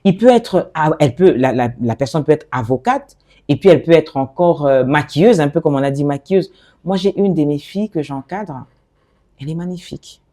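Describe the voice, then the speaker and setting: Lively low-voiced Congolese-born woman. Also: a little fast. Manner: animated, expressive, laughs often, restarts phrases. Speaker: guest, fashion designer and author. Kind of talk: conversational interview.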